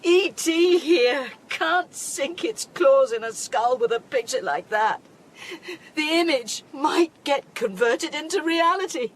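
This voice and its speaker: An actress as a dying woman scientist in a radio drama, anguished and defiant. Her high voice swings widely and moves slowly and haltingly, over faint noise. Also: expressive. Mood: grim. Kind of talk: audio drama acting.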